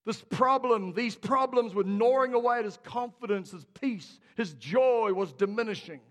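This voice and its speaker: High-voiced preacher in a reverberant church. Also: male voice, normal speed. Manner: rousing, calls out to the congregation. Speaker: pastor. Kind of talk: sermon. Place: large church hall. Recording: long hall reverb.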